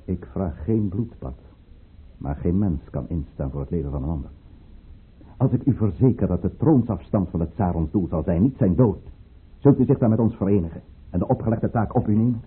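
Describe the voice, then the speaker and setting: An actor playing a ruthless, fervent count who leads a conspiracy, with declamatory, commanding delivery in a Dutch radio play. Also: male voice, low voice, animated, brisk, breathy edge, exaggerated voice. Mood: persuasive. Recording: muffled, narrow-band old radio recording.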